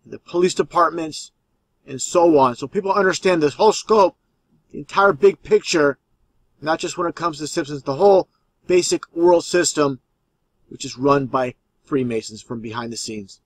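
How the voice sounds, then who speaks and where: A man hosts a commentary on video clips in a slightly high-set voice. The sound is dry, with little room to it. He explains insistently and circles back to repeat his points. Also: normal speed, dry recording.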